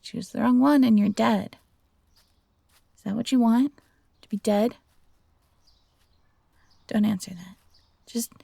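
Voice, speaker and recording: A woman voicing an earnest, gentle but defiant young fox-hybrid companion in an audio roleplay, speaking pleadingly and hesitantly. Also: on the high side, creaky, husky, no echo, full character voice. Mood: worried scolding.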